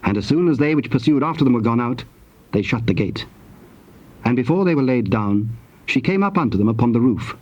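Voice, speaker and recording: Casting: male Bible narrator, mid-pitched, husky, measured and solemn, slightly dull tape sound. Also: expressive, darkish tone. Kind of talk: scripted scripture narration.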